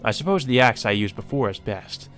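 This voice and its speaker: A man voicing a cold, methodical killer narrating calmly and deliberately in a visual novel. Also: medium pitch, soft background music, close to an everyday voice. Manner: calm, deliberate. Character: cold methodical male killer musing over his ritual.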